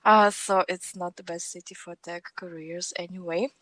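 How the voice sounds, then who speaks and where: A woman's voice: lowish voice, fairly even intonation, moderate pace, fairly bright tone, dry recording, low-quality recording. A woman in her thirties with a Russian accent, talking conversationally as an interview guest. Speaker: podcast guest, technical trainer.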